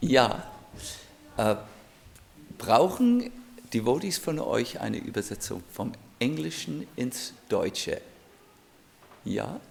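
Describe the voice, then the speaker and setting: A man, a spiritual teacher, giving an informal, gently joking talk to a seated audience in a room. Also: medium pitch, big pitch swings, gravelly, a little hiss or hum. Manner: unhurried, gently joking, thinking aloud. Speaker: spiritual teacher leading an evening gathering. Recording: live audience heard around the speaker.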